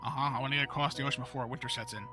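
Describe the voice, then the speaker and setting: A man reading the lines of a calm, weary adult wanderer in a plain, understated way. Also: mid-range voice, racing, close to an everyday voice. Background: game music.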